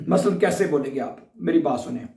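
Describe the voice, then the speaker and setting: A man teaching a beginner language lesson with a sore throat, chatty and often pausing to check in with his viewers. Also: fairly high pitch, vocal fry, dark tone, roomy sound, distant mic. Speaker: German teacher. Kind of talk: casual online language lesson.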